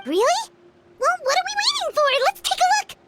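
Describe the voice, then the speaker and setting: An actress voicing a tiny, excitable female fairy companion in a video game, squeaky, childlike and expressive. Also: brisk, heavy background noise. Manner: chatty, animated, expressive.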